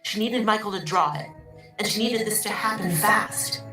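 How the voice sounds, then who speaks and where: A woman's voice: medium pitch, moderate pace, close to an everyday voice. A woman narrating a dramatized audiobook story. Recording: echoey distant playback with music. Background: music, sound effects.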